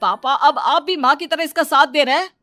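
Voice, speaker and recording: A woman's voice: high-pitched, brisk, sharp tone, faint music behind. A woman voicing a demanding, complaining young husband in a moral story cartoon. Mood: angry, complaining. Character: demanding young husband and his calmer father.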